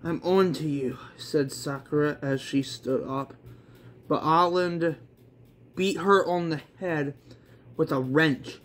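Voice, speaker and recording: A man's voice: on the high side, slow, long pauses, a bit bright, slight background noise. A man reading a story aloud in an amateur way, with rambling, informal asides and some swearing. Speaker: reader of a self-made manga story. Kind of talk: amateur story read-along with casual asides.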